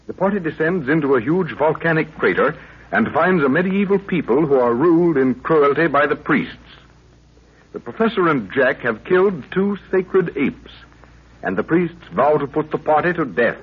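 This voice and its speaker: A man performing as the measured, portentous announcer of a 1930s radio jungle adventure serial, reading scripted narration. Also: a little high, sing-song. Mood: dramatic. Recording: tinny, rough 1930s radio recording.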